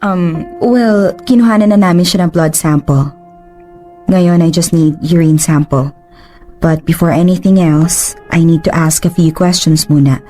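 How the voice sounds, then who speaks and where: A low, mellow female voice plays as a woman plays a composed doctor questioning a patient. She is polite and reassuring, switching easily between English and Tagalog. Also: steady tone, a little slow, faint music behind, lightly acted. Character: calm, professional female doctor.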